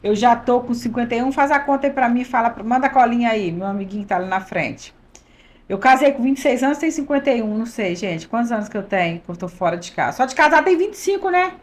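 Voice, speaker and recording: A woman in her early fifties, from Minas Gerais, speaks as a guest on a church podcast. Her voice sits a little high. She talks with earnest emphasis, circling back to repeat her key phrases. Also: animated, fast talker. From Minas Gerais, Brazil. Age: 50s. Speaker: pastor's wife, podcast guest. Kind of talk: conversational testimony.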